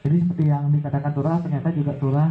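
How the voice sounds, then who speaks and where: A man hosting a discussion and giving relaxed, chatty closing remarks. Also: on the high side, very fast, faint music behind. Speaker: host. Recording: dull, echoey room.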